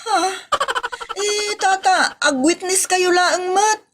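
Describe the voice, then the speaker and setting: Exasperated Ilocano wife type, played by a woman, high and scolding, comedy drama, slightly noisy recording. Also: takes it slowly. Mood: pleading, indignant. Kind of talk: Ilocano comedy radio-style drama acting.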